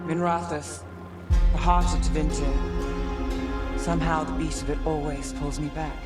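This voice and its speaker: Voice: mid-range voice, little pitch movement, measured pace, over loud music, lightly acted. An actor playing a cool, wry, self-assured city detective in a fantasy video game. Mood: wry, reflective.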